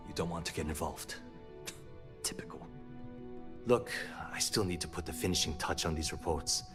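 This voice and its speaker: Low-pitched male actor as a frustrated, principled company manager for sci-fi game dialogue, earnest and articulate, music underneath. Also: normal speed, lightly acted. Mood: resigned. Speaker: video game character.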